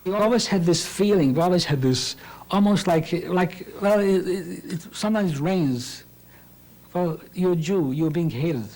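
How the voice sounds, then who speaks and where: An older man from Hungary giving a halting, recalled testimony as an interviewee, often repeating words as he searches for them. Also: high voice, darkish tone, low-quality recording.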